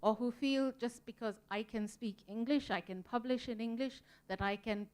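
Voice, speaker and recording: Earnest, smooth-voiced woman from Sri Lanka. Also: fairly high pitch, moderate pace, darkish tone. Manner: earnest, reflective, frequent ums. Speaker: museum volunteer panelist. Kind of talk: conference panel talk. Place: conference room.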